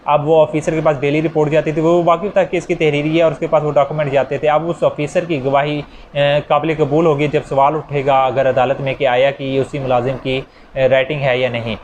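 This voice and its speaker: Male law lecturer, Pakistani accent, slightly high pitch, explanatory with English legal terms, faintly noisy recording. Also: flat delivery. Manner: switches into English legal terms, reading statutes slowly. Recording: clear background noise.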